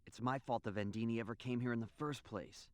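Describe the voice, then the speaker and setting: A man's voice: medium pitch, quick pace, darkish tone, no room sound, natural voice. A man playing an earnest, resolute young hero in a video game cutscene, speaking plainly. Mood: subdued resolve.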